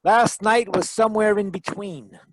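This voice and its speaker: Man playing a high-pitched wisecracking private eye. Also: normal speed, no room sound, rough recording. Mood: hardboiled narration. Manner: wry, dramatic noir narration. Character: wisecracking hardboiled male private detective. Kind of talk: live old-time radio drama acting.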